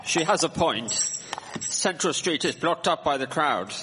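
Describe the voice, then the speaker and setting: A man's voice: on the high side, expressive, measured pace, over loud music. A man acting a formal, indignant, reproving townsman in a live radio drama. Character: indignant, reproving townsman complaining of blocked traffic. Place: performing arts centre stage. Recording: hall reverb, faint noise and clicks.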